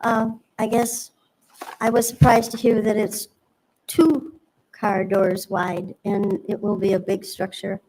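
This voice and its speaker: Slow, hesitant woman at a hearing. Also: medium pitch, little pitch movement, darkish tone, rough recording. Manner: hesitant, polite, rambling with fillers. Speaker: resident speaking at a public hearing. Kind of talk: public comment at a city meeting.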